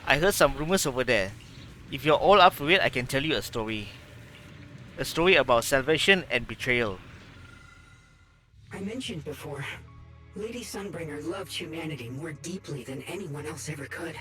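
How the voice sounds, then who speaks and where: A man voicing a gentle, kind male bamboo being who tells a story in a calm, measured way in game dialogue, with music under it. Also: a little high.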